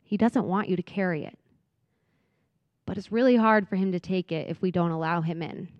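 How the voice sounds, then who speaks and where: A woman speaker at a church who closes a reflective talk and then leads the room in a soft, earnest prayer. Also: mid-range voice, smooth, dry recording. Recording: dull, very dry sound.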